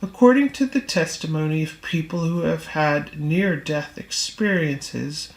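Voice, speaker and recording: Slow-reading woman in an echoey room. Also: deep voice. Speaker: reader. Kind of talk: audiobook-style reading of a spiritual text.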